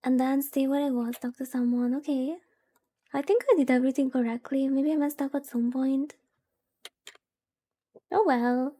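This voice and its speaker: High-voiced, mellow woman streamer. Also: steady tone, moderate pace, long pauses. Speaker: VTuber streamer. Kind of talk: casual chat while playing a visual novel. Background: game music.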